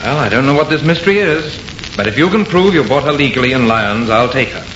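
An actor playing a confident, scoffing artist in an old-time radio horror play, with a brisk, assured, theatrical manner. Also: male voice, mid-range voice, animated, close to an everyday voice. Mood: bargaining. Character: confident, scoffing male artist, enthusiastic and unafraid. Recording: muffled, echoey old radio recording, heavy noise.

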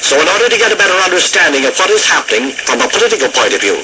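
A man's voice: high voice, big pitch swings, very fast, sharp tone, exaggerated voice. A man acting as a grave, formal network news anchor reporting on a war in a radio drama. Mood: grave, alarmed. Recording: noisy radio news broadcast.

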